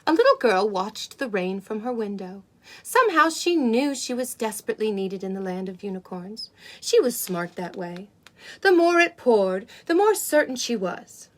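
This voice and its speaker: A woman playing a sweet, wide-eyed unicorn who hosts story time for children, with cooing wonder and exaggerated emphasis. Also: fairly high pitch. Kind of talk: animated picture-book reading for children.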